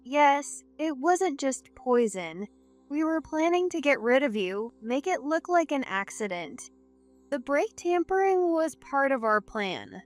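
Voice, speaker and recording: High-voiced defiant scheming wife, played by a woman. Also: lively intonation, a little slow, a bit bright, soft background music. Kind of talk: scripted dramatic story dialogue.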